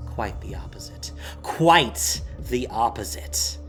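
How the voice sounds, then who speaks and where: Slow, dramatic male fantasy narrator. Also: mid-range voice, animated, fairly bright tone. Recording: faint music behind.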